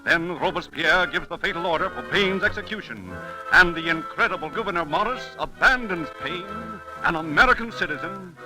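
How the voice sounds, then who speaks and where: A man's voice: high voice, animated, fairly quick, low-quality recording. A man narrating a scripted 1930s radio drama in a theatrical, emphatic manner. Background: dramatic music.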